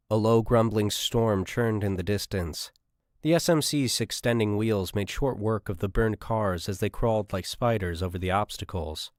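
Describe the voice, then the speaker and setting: A man's voice: lowish voice, dry recording, clean studio sound. A man reading a scripted military science fiction story as an audiobook narrator.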